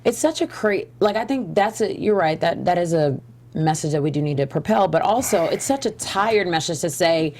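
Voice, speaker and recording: A young woman co-hosting a political panel discussion, chatty and animated with lots of exclamations. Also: lowish voice, moderate pace, a little hiss or hum. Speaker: co-host.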